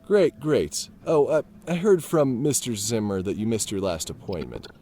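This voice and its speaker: A man's voice: on the low side, lively intonation, moderate pace, noisy background, lightly acted. A man playing a prying, falsely friendly workplace boss in an audio drama, breezy and probing. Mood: breezy, managerial. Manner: breezy, probing, insincere politeness.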